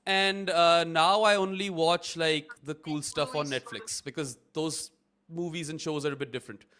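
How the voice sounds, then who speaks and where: A man in his thirties hosts a live watchalong, trading jokes with a guest and breaking in with match updates. His voice sits a little high for a man, and he keeps the tone light and teasing. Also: steady tone, sharp tone, smooth. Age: 30s. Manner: teasing, quick laughing banter. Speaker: watchalong host. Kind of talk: casual chat with cricket updates.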